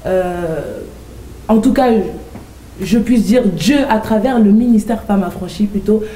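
A woman's voice: mid-range voice, measured pace, warm tone, reverberant, recorded from a distance, a little hiss or hum, poor audio. A young woman from France giving an earnest church testimony as an interview guest, hesitating often. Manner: earnest, many hesitant fillers. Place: TV studio set.

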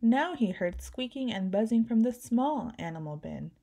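Mellow woman reading a storybook aloud. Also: on the high side, lively intonation, moderate pace, creaky. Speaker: children's book reader.

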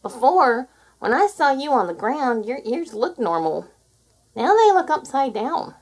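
An inquisitive storybook possum, played by a woman, with drawn-out questions. The voice is slightly husky and swings up high. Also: big pitch swings, full character voice, poor audio. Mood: curious. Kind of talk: children's storybook read aloud.

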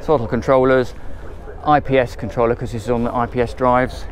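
A man's voice: medium pitch, level tone, brisk, a little hiss or hum. A man giving a chatty, ad-libbed walkthrough tour of a motor boat, filmed handheld on board at a marina. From England. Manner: chatty, enthusiastic, loosely ad-libbed. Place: on board a motor boat at a marina, moving between cabins and decks.

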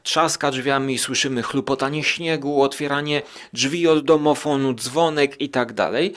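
A man with a mid-pitched voice talks casually as a solo podcast host. He rambles through his review, halting often and stretching out hesitations as he searches for the next phrase. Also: fairly even intonation, a bit bright. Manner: halting, rambling, thinking aloud with drawn-out hesitations. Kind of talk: casual monologue review of radio plays.

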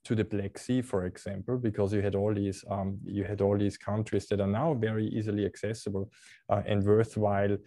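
Austrian man with a deep, dry voice. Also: level tone, brisk, dark tone, no echo. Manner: hesitant, many fillers and repeated words. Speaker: cruise company representative. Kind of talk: video call interview. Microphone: video call.

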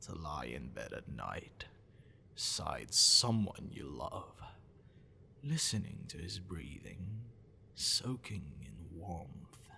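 Man acting a low, gravelly, possessive shapeshifter. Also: fairly bright tone, a little hiss or hum, heavily acted. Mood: hushed, tender.

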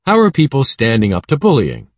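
American man narrating with lively intonation. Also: mid-range voice, brisk, no echo. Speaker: audiobook narrator. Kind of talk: scripted educational read-aloud narration.

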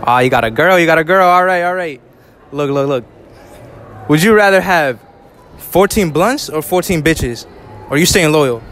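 A man's voice: a little high, lively intonation, normal speed, long pauses, a bit bright. A young man from New hosting a casual street interview in a shopping mall, talking into a handheld mic in upbeat slang and repeating "all right" a lot. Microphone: handheld mic held to speakers.

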